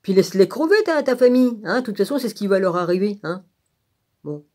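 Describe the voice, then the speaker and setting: Female vlogger, medium pitch. Blunt, crude, sarcastic informal rant delivery. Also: lively intonation, brisk, a little mellow. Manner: blunt, irritated, crude colloquial speech; sarcastic, punctuates phrases with rhetorical tags.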